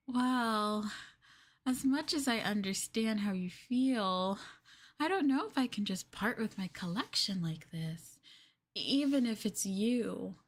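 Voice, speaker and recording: Slow, coy, possessive girlfriend played by a woman. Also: on the high side. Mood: affectionate, coaxing. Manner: coy, doting, giggly, hesitant phrasing. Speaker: ASMR roleplay streamer. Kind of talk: ASMR girlfriend roleplay, improvised-sounding.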